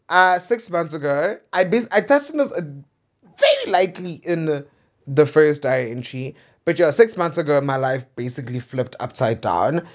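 Young South African man, slightly high voice, casual confessional monologue, candid and conversational, slightly muffled lo-fi recording. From South Africa, suburban Centurion. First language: Pedi. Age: 20s. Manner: candid, conversational, with swearing and playful asides. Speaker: host of a personal diary podcast.

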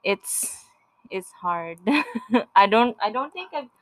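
Woman, Filipino accent, young adult, unhurried pace, dry close recording. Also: medium pitch, expressive, no echo, low-quality recording. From Philippines. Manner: giggly, repeats words when starting. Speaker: podcast co-host. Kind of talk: casual couple chat.

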